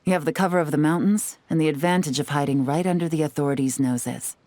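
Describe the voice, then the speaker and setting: A woman voicing a cool, confident young female spy in a video game cutscene, calm and poised with a quietly teasing edge. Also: sits low, quick pace, dry recording, lightly acted. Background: faint game music.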